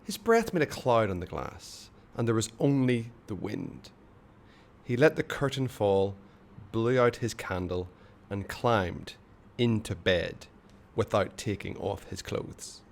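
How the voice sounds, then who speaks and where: Low-voiced man reading a story aloud. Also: lively intonation, takes it slowly, creaky. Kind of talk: expressive read-aloud story narration.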